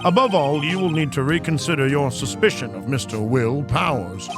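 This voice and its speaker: A man voicing a stern elderly courtroom judge from a video game, speaking in measured, formal pronouncements. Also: on the high side, voice pushed down, close to an everyday voice. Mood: solemn. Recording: music playing behind the voice.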